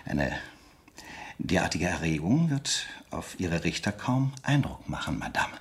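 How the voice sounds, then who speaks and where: A rather low-pitched French officer in a radio drama. He questions with suave courtesy and dry irony. Small hesitations and deliberate pauses break up his polite phrases. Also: big pitch swings, heavily acted. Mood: probing, sardonic. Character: French army captain, suave, sardonic interrogation officer. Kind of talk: German radio drama acting.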